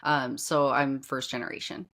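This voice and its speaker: A woman's voice: rumbling low, fairly even intonation, normal speed, fairly bright tone. A woman hosting a podcast in a casual interview chat, gushing and effusive as she talks. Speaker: podcast host.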